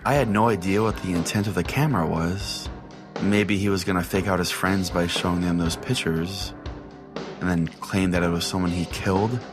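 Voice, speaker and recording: A man's voice: low voice, steady tone, moderate pace, music in the background, close to an everyday voice. A man playing a crude, self-righteous small-town pastor who hosts a call-in radio show, pompous and foul-mouthed. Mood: earnest storytelling. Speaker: podcast host and storyteller. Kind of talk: comedic storytelling in radio call-in format.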